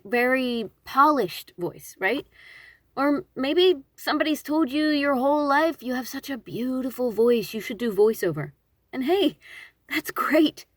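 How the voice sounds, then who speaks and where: A woman giving a casual instructional talk, conversational and expressive, with emphatic phrasing. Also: on the high side, no room sound. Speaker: audiobook narrator and voice actor.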